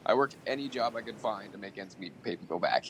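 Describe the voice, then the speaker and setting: A man's voice: fast, lightly acted, rough recording. A man playing a weary, earnest, exasperated father in a scripted radio play. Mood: weary, regretful. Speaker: actor in a college radio play. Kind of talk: scripted radio drama acting. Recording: faint low rumbling noise.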